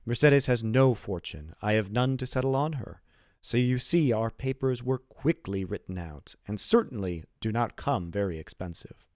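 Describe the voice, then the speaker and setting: A man's voice: medium pitch, moderate pace, a little mellow, no echo, clean studio sound, lightly acted. A man reading the part of a happy, earnest young sailor bridegroom in a storybook, eloquent and emphatic. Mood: cheerful.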